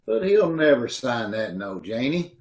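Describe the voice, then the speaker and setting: A man's voice: mid-range voice, sing-song, a little slow. A man playing a skeptical young brother-in-law in an old-time radio comedy, recorded over a video call. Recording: echoey home room over a video call.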